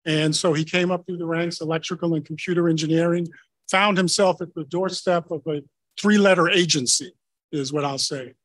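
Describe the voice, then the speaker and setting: Man in his sixties, Spanish first language, no accent, relaxed storytelling, slightly high pitch. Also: normal speed, dry recording. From Honduras. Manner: conversational, storytelling. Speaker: panelist. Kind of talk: personal account on a panel.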